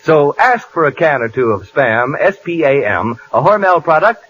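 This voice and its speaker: A man's voice: fairly high pitch, big pitch swings, normal speed, slight background noise. A man announcer reading a 1940s radio commercial in a salesy, persuasive manner, on a muffled broadcast recording. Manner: bright, pleading then salesy.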